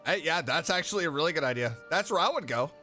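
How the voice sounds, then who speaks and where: A woman's voice: low-pitched, animated, quick pace, pushed and bright, faint music behind. A woman streamer giving casual live commentary on a video game.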